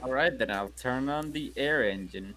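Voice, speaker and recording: Playful guy at the game table, mid pitch, goofy questions. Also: normal speed, sharp tone. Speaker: player. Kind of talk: casual tabletop game chat.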